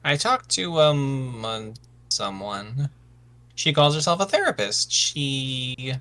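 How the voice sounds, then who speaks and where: Man playing an anxious, stammering young misfit, bright-voiced. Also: medium pitch, animated, slow. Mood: earnest. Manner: hesitant, stammering, earnest. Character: sensitive, anxious, hesitant misfit son of warriors.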